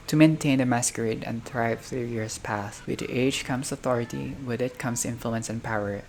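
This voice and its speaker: A gamer with a creaky, medium-pitched voice reads a visual novel aloud. The delivery is halting, moving between narration and offhand comments to self. There is noise behind the voice in what sounds like a homemade recording. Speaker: gaming video creator playing a visual novel. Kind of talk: reading game text aloud with casual reactions. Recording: clearly noisy home recording, unstable audio.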